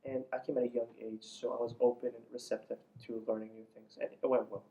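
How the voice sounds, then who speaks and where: A man's voice: medium pitch, level tone, fast, warm tone, muffled recording. A young man from Iran, a native Farsi speaker, giving earnest, slightly formal explanatory answers as an interview guest. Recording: distant mic, faint background noise.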